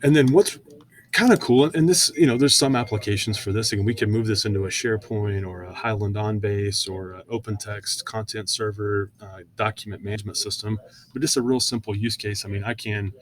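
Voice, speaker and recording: A man presenting software in an informal, conversational screen-share walkthrough, often hesitating with fillers as he explains each step. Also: on the low side, a little fast.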